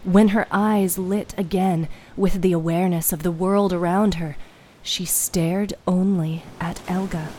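A woman narrating a fiction podcast in a measured, suspenseful, dramatic storytelling style. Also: on the low side, fairly even intonation, warm tone, slight background noise, rough recording.

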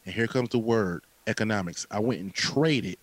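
A man in his late thirties in a casual podcast conversation, talking with animated emphasis, repeating phrases and using casual slang. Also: fairly low pitch, moderate pace, dry recording, poor audio. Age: late 30s.

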